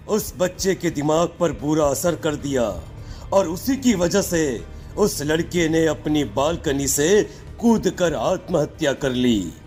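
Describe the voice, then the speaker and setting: A man's voice: a little high, darkish tone. A man voicing a stern, accusing holy man who banishes spirits in a dramatized horror story. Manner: solemn, commanding.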